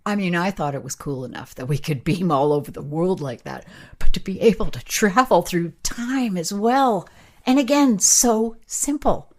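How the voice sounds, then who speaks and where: An actress as a chatty, animated woman. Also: on the low side, normal speed. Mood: excited. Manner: casual, emphatic. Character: excitable, chatty, practical female camera operator. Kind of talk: radio play, naturalistic dialogue.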